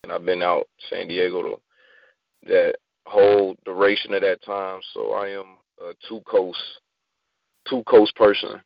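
A man's voice: sits low, little pitch movement, a little slow, gravelly, no room sound, dull audio. A man in his thirties from Virginia, chatting casually as a guest poet and often repeating himself. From Virginia, United States. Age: 30s. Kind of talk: casual interview chat.

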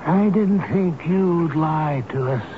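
A man's voice: fairly high pitch, slow pace. A man playing a stubborn, devoted old elevator operator in a radio drama, with a folksy, plain-spoken manner. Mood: defiant, upset. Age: older. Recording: noisy, muffled old radio broadcast recording.

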